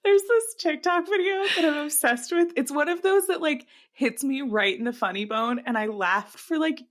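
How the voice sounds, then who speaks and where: Female podcast co-host, higher register, informal and jokey, giggly delivery, conversational throughout. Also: moderate pace. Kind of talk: casual comedic chat.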